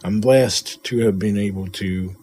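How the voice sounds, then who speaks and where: Low, mellow man speaking a halting tribute. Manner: halting, reflective, repeats himself. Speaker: friend and colleague paying tribute. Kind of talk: personal spoken tribute. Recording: noticeable background noise.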